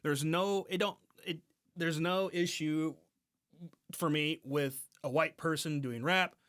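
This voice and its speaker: A man's voice: fairly high pitch, steady tone, takes it slowly, a bit bright. A man co-hosting a podcast in informal conversation, speaking emphatically and repeating phrases as he builds a point. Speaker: podcast co-host. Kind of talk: casual podcast chat.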